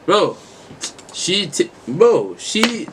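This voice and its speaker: A man's voice: sits high, very animated, very slow. A nineteen-year-old man chatting with strangers over video, slangy and stammering, repeating words playfully. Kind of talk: casual video chat banter. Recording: noisy video chat audio.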